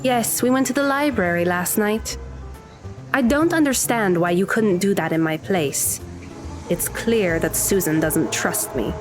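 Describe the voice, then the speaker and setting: A woman's voice: medium pitch, measured pace, close to an everyday voice. A woman voicing a weary, sarcastic teenage girl with a dry manner, in an audio drama with music underneath. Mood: frustrated.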